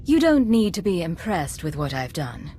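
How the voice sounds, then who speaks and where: Cool defiant spy commander in a video game, slightly low voice, firm and controlled. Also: female voice, very animated, normal speed, dark tone, slight background noise. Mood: cool defiance. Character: defiant, cool-headed female agent and commander. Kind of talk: dramatic video game voice acting.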